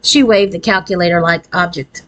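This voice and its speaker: A woman's voice: on the low side, steady tone, a little fast, a bit bright. A woman narrating a children's novel as a read-aloud, following a written script. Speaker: audiobook reader.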